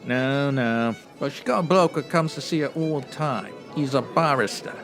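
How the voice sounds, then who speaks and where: A man's voice: medium pitch, normal speed, fairly bright tone, soft background music, lightly acted. A man playing a friendly, chatty bartender with a Cockney accent in a comedy audio drama. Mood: gossipy, admiring. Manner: chummy, colloquial. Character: friendly Cockney bartender, male, chatty, protective of a local lady.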